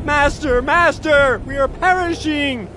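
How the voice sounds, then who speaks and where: A man's voice: very high-pitched, slow pace, background noise. A man playing a frightened, awestruck disciple in a dramatized audio Bible, urgent and fearful. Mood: panicked.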